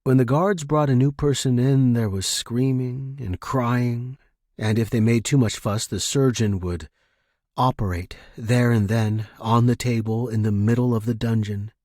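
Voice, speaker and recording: Male narrator for dark first-person fiction: husky, lowish pitch, grave dramatic delivery, close dry recording. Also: fairly even intonation, a little slow, no room sound. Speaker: story narrator.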